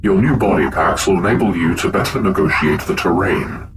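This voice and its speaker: Guy as a bossy robot leader, deep but swoopy voice, loud noise behind him, audio drama. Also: animated, a little fast. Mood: briefing. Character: imperious, gruff male robot leader of a new government. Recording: loud background ambience noise.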